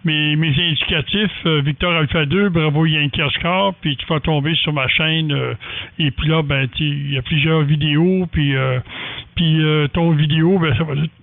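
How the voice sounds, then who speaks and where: A man's voice: fairly high pitch, steady tone, normal speed, gravelly, no room sound. A man with a Quebec French accent, an amateur radio operator chatting casually in a hesitant, rambling way over the radio. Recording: dry, thin phone-like radio sound.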